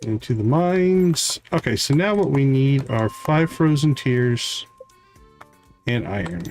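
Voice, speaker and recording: A man hosting a livestream, giving casual gameplay commentary and thinking aloud in an unhurried way. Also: mid-range voice, lively intonation, a little mellow, soft background music. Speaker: livestream host.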